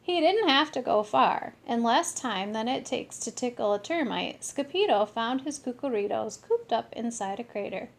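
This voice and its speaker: A woman reading a children's story aloud in an expressive, animated way with deliberate phrasing. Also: a little high, vocal fry. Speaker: storybook reader.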